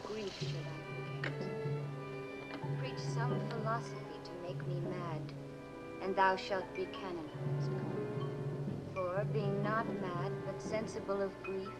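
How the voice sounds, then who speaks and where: A woman's voice: mid-range voice, slow, natural voice. An actress playing an anguished, grieving woman in a theatrical, declamatory mid-century television drama style. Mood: grieving, impassioned. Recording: old TV soundtrack under loud music. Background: loud music.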